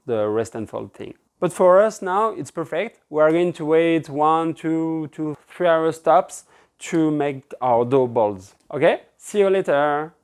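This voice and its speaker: A man with a French accent, a native French speaker from France, giving a relaxed, informal step-by-step cooking demonstration as an instructor in a kitchen. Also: on the high side. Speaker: pizza-making instructor. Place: indoor kitchen with stone counter.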